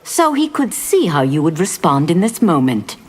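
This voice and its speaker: A woman's voice: on the low side, big pitch swings, normal speed, noisy background. A woman playing a zealous, righteous demon in a fighting game, solemn, earnest and formal. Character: Ashrah, righteous female demon, zealous foe of evil. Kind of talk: fighting game character acting.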